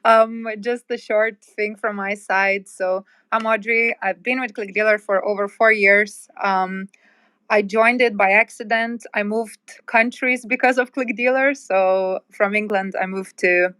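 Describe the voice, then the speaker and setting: A young woman from Lithuania with a smooth, clear voice introduces herself in a light, unhurried way. The sound is very dry and close, with no room around it. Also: mid-range voice, fairly even intonation, fairly bright tone, no echo. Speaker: guest account manager. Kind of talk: casual self-introduction.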